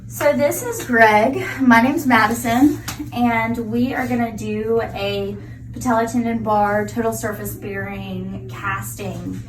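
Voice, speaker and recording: A woman's voice: a little high, steady tone, takes it slowly. A woman prosthetist explaining a clinical procedure step by step as she demonstrates it. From United States. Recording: echoey room, mic from far off.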